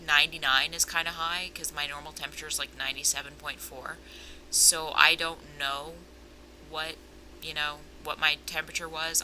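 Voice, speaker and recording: A woman's voice: deep voice, pushed and bright, a little hiss or hum, rough recording. A young woman vlogging casually about herself with a sore, swollen throat, rambling and self-aware, drifting into tangents. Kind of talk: casual personal vlog.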